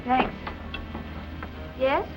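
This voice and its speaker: A woman's voice: extremely slow, rough recording. A woman playing an earnest young heroine turned sleuth in a 1940s suspense film. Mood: urgent, polite. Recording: 1940s film soundtrack, loud music behind.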